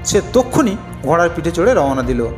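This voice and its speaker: A woman's voice: on the low side, big pitch swings, fairly quick, a little mellow. A woman narrating a scripted folk tale as a storyteller for a cartoon. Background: music.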